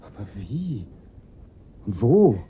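A man's voice: very animated, slow pace. An actor playing an alarmed husband and father in a German radio crime drama, questioning and insistent. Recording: narrow muffled band, rumbling noise.

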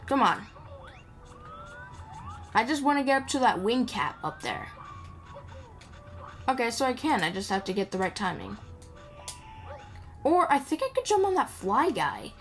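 A woman's voice: a little high, sing-song, unhurried, long pauses. A woman giving casual gameplay commentary, rambling playfully and chatting to the characters on screen. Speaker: gamer playing and commenting. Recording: game music behind the voice. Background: video game music.

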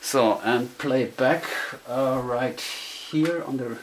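Male, German accent, native German speaker. Casual demonstrator, hesitant and self-conscious, mid-pitched, on noisy amateur camera audio. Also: takes it slowly. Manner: hesitant, self-conscious, searching for English words. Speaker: hobbyist demonstrator. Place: indoor room, beside tape machines. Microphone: camera microphone.

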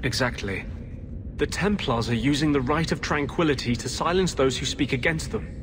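An earnest rebel mage from a fantasy game, played by a man, intense and bitter. His voice sits at a middle pitch, with clear ambience noise behind it. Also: moderate pace, natural voice. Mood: bitter, urgent.

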